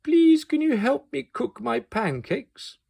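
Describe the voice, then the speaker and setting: A man's voice: very high-pitched, huge pitch swings, takes it slowly, gravelly, warm tone, voice pushed up, heavily acted. A man voicing a polite, hopeful, put-upon wolf from a picture book, pleading nicely.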